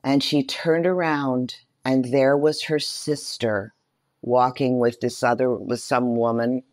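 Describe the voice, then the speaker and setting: A woman's voice: very deep voice, steady tone, takes it slowly, darkish tone, no room sound. A middle-aged woman speaking as an interview guest, telling personal stories expressively, repeating phrases for emphasis. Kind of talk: personal storytelling in conversation.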